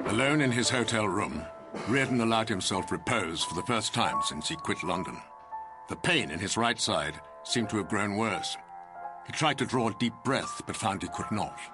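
A man's voice: on the low side, expressive, moderate pace. A man narrating a literary drama from a script. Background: music.

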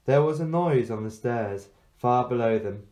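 A man reading a fairy tale aloud like a teacher to pupils, in expressive storybook narration. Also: medium pitch, measured pace.

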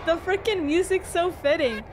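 A young adult woman giving casual, excited reaction commentary into a close desk microphone at home. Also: extremely high pitch, normal speed, background noise. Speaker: reaction video host. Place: small room at home. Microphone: close boom-arm desk microphone.

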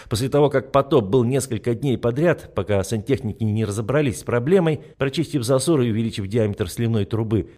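A man's voice: medium pitch, warm tone. A man reading fiction as a scripted audiobook narration.

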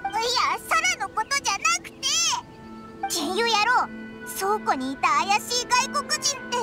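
Squeaky, excitable tiny fairy companion. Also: female voice, a little slow, loud music behind the voice, heavily acted. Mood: startled, suspicious. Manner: cutesy, brash, rough boyish wording. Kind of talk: Japanese game voice acting.

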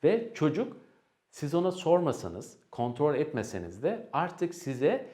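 A man's voice: fairly high pitch, very animated, unhurried, dark tone. A man giving an educational talk to parents, didactic, often posing questions and answering them himself. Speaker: parenting educator presenting advice. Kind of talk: explanatory educational presentation to parents.

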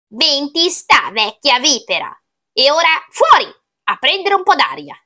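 A woman's voice: sits high, huge pitch swings, unhurried, sharp tone, pitched above the performer's own voice, heavily acted. A woman voicing a defiant, cheeky little boy in a storybook, whiny and exclamatory. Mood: triumphant.

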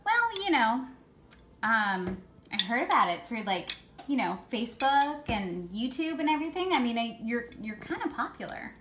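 A woman's voice: a little high, sing-song, moderate pace, a bit bright, slight background noise. A woman in her thirties chatting playfully in a home kitchen, filling her talk with "you know" and laughs. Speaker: cooking helper and mock guest. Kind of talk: playful casual kitchen chat. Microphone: camera on counter a few feet away. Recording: echoey kitchen with clatter.

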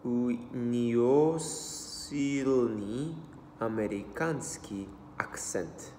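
A man in his thirties with an American accent, practicing a new language aloud at his desk, repeating words slowly and haltingly. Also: medium pitch, a little mellow, slight background noise. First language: English. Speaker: language learner. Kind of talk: self-study vlog, reading phrases aloud. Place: small room indoors. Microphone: laptop webcam at desk. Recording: roomy echo with long reverb.

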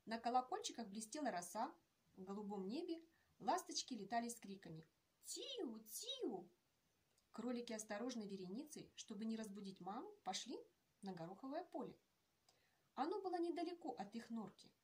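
A woman in her forties, an author reading her own children's tale aloud in a gentle, measured storytelling manner, recorded indoors on a webcam. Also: a little high, lively intonation, breathy edge. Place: indoor room with painted canvases.

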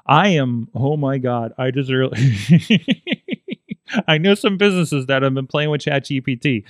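A man in his forties hosts a casual tech podcast, wandering through his thoughts with plenty of ums and restarts. He speaks at an ordinary middle pitch into a close studio mic. The room around him is dead and dry. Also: expressive, a little slow, dry recording. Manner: rambling, many fillers and restarts. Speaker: podcast host. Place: small studio with foam panels. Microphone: studio mic on boom arm, headphones.

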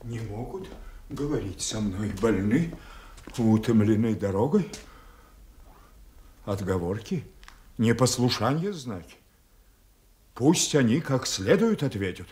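A man's voice: fairly low pitch, lively intonation, takes it slowly, long pauses, darkish tone. An actor playing a proud, aging king, wounded and raging, in theatrical declamation for a radio tragedy. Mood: wounded, indignant. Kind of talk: classical Russian stage tragedy for radio. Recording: echoey Soviet radio theatre recording.

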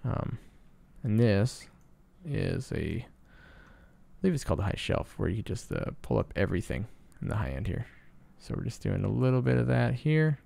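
A man presenting a casual sound design tutorial in a low-key, explanatory way. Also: lively intonation, moderate pace, gravelly, mellow. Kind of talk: casual screen-recorded tutorial narration.

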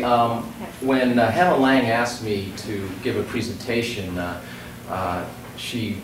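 Man speaking from a far-off podium. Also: fairly low pitch. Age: 40s. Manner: halting, many fillers and restarts. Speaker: composer giving a talk. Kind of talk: lecture at a podium. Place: hall with a podium. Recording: roomy distant hall recording with noise.

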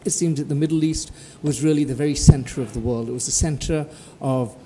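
A man in his forties, co-host and editor, giving measured, courteous closing remarks at a table mic in a conference hall. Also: fairly high pitch, warm tone, a little hiss or hum.

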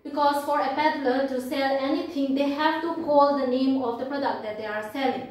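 A young woman with an Indian accent and a high-pitched voice teaches an English class. She explains in halting, repeated phrases and reads aloud as a teacher would. The room is very echoey and she sounds distant from the microphone. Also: fairly even intonation, a little mellow. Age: young adult. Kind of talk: classroom lesson, explaining and reading a poem aloud. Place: indoor classroom at a blackboard.

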